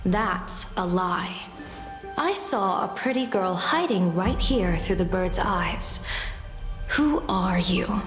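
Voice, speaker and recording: A composed seer in an echoing hall. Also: female voice, lowish voice, lively intonation, takes it slowly. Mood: probing, assertive. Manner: articulate, formal, probing. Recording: echoey hall with music behind.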